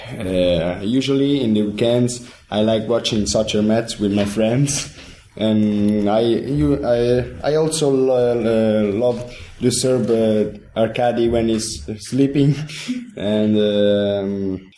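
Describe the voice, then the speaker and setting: A man in his twenties from Italy with an Italian accent, a language student introducing himself in halting English full of fillers. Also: lowish voice, dark tone, echoey room, a little hiss or hum. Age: 20s. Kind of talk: casual podcast introduction.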